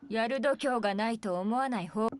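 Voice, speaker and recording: A woman's voice: fairly high pitch, very fast, dry recording, clean studio sound, lightly acted. A woman playing a cold, menacing young woman in a visual novel, curt and contemptuous. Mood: cold, threatening. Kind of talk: Japanese visual novel voice acting. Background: game music.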